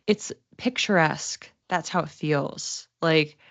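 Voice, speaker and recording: A woman hosting an oracle card reading in a casual, halting, conversational way, gently reassuring as she improvises. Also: low voice, no echo. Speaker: host giving psychic oracle card readings. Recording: dull, muffled lo-fi sound.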